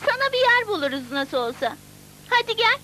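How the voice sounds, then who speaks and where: A woman's voice: extremely high pitch, big pitch swings, brisk, noisy background. A woman voicing a friendly, matter-of-fact young city girl in a Turkish cartoon dub. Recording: old VCD dub with music.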